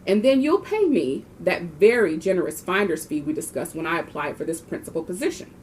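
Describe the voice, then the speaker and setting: A woman's voice: on the low side, sing-song, a little fast, a little mellow, a little hiss or hum, rough recording. A woman reading the part of a scheming, greedy female school principal in a storybook, sly and deferential.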